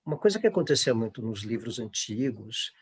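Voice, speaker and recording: A man's voice: mid-range voice, big pitch swings, brisk, slightly rough. A middle-aged Brazilian man speaking informally in a panel discussion, in a discursive way that often seeks agreement.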